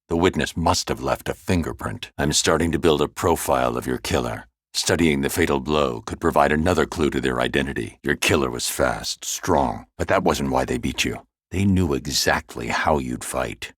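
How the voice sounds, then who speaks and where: A man voicing a grim, brooding male vigilante detective in a video game, speaking in a low, grave, clipped and methodical way. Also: fairly bright tone, breathy edge, no echo, studio quality, heavily acted. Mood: grieving. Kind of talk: game voice acting. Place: recording studio. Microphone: close studio mic.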